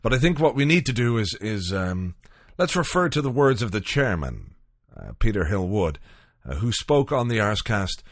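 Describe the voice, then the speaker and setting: A man's voice: lowish voice, very animated, normal speed, few pauses, no room sound, clean studio sound. A man hosting a podcast from home late at night, chatting loosely and rambling with lots of fillers and asides. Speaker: podcast host.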